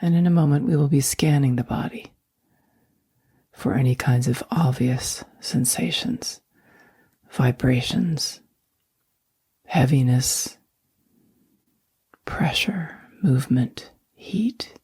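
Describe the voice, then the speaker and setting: A woman guiding a sleep meditation in a soft, breathy near-whisper, speaking slowly and gently with long, soothing pauses. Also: rumbling low, no echo. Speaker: meditation guide.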